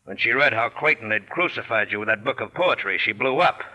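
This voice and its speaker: Hard-edged 1940s radio police captain. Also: male voice, lowish voice, animated, a little fast, pushed and bright, dull audio. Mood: firm revealing. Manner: blunt, pressing, needling. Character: shrewd, dogged male police captain confronting a suspect.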